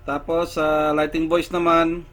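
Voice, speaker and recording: A man giving a casual step-by-step how-to demonstration, unhurried, with many fillers. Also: on the high side, monotone, fairly bright tone, soft background music. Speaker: tech vlogger.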